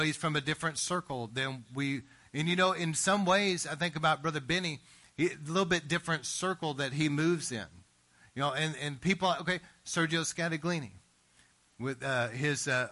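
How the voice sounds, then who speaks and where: Male pastor, bright and forceful tone, slightly high voice, emphatic sermon delivery. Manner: earnest, emphatic preaching with frequent "Amen?" asides.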